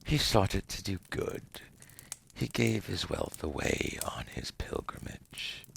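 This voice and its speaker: An ancient devil acted by a man murmurs in an extremely deep, gravel-laden voice that often drops into breathy whispers. He is slow and deliberate, smug and seductive, with silky menace and formal, theatrical phrasing. It plays as intimate ASMR roleplay. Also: big pitch swings, heavily acted. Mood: measured, knowing. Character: seductive ancient male demon dealmaker, smug, silkily menacing.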